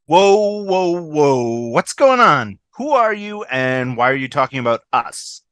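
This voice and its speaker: A man's voice: fairly high pitch, big pitch swings, takes it slowly, a bit bright, clear, resonant tone, no echo, heavily acted. A man playing a brash, suspicious, pushy troublemaker in an amateur comedy table read. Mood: confrontational.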